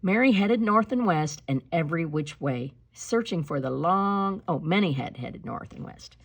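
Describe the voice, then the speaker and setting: A woman with a low, husky voice reads a storybook aloud in the manner of a teacher. Her phrasing is deliberate, expressive and instructive. The sound is close and dry, with very little room around it. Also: dry recording. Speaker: teacher reading a picture book aloud to pupils.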